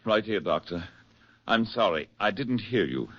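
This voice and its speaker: An actor playing a haunted, gentle man finding his way back, halting and earnest, in 1940s radio drama style. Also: fairly low pitch, expressive, no echo. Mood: quiet. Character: haunted, gentle man recovering from a broken mind. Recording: muffled 1940s radio recording, music behind.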